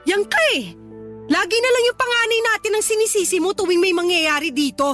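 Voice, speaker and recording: A woman's voice: extremely high pitch, fairly quick, fairly bright tone. A woman playing an exasperated, sarcastic young working mother who scolds her partner in a Tagalog radio drama. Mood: accusing, sarcastic. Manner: nagging, sarcastic. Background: background music.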